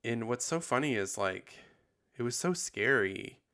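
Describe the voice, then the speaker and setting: A man hosting a podcast in casual confessional chat, sounding husky and tired after bronchitis, rambling with lots of likes and ums. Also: medium pitch, expressive, measured pace, a bit bright. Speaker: podcast host.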